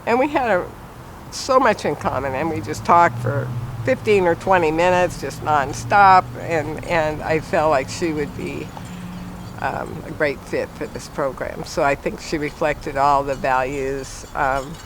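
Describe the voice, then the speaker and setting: An older man, a retired preschool director, looking back in an interview at an unhurried pace with frequent pauses and fillers. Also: on the high side, noisy background. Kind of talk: reflective interview. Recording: music bed underneath.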